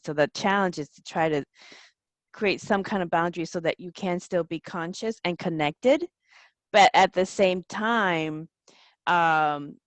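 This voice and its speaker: A woman's voice: fairly low pitch, no echo. A woman hosting a conversational podcast interview, rambling a little and correcting herself as she goes. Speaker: podcast host.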